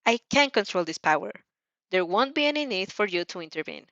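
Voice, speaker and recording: A woman's voice: medium pitch, lively intonation, fairly quick, gravelly, a bit bright, dry recording, highs cut off. A woman voicing a plucky, lovestruck teenage girl student in an amateur audio story, earnest and sheepish. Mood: trying to sound firm.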